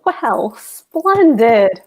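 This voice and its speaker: Blustering, self-important comic husband played by a woman, higher voice with animated swings, emphatic delivery. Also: extremely slow, heavily acted. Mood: pompous, pleased. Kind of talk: live cold table read of a stage comedy.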